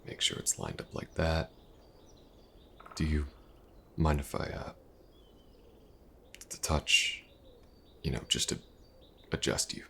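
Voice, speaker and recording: A man playing an elven mercenary companion in an intimate fantasy audio roleplay, patient and gentle, with a hesitant, casual way of talking. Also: expressive, a little hiss or hum, heavily acted. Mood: awkward, gentle. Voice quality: very deep, gravelly. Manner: hesitant, soft-spoken, casual, with halting pauses.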